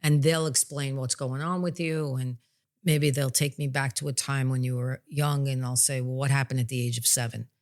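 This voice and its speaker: A woman's voice: rumbling low, steady tone, moderate pace, darkish tone. A plain-spoken woman talking casually as a psychic medium and podcast host, explaining her work in an informal way. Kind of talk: casual conversational explanation of spiritual healing work.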